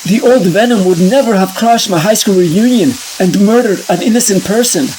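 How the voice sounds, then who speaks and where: A man's voice: sits high, moderate pace, a little mellow, natural voice. A man acts out a masked comic-book hero with a quippy, protective streak. He narrates his own thoughts wryly and with concern. Some ambient noise sits behind the voice. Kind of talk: comic-book internal monologue and banter. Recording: noisy ambient sound behind.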